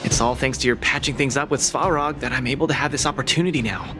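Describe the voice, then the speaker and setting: A man's voice: mid-range voice, fast talker, natural voice. A man playing a cheerful, cocky young underworld fighter in a video game, breezy and informal. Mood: earnest, determined.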